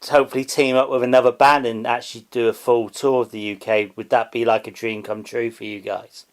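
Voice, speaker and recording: Male interviewer with a mid-pitched voice. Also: little pitch movement, a little fast. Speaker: music interviewer. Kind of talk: casual interview questions.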